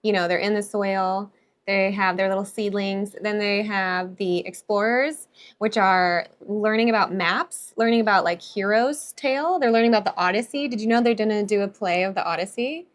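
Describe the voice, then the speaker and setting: A woman from California co-hosts a family vlog beside her partner. She chats casually, backing him up with quick agreement and filling in lists of details. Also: medium pitch. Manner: affirming, adds lists and details. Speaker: vlog co-host. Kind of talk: casual chat.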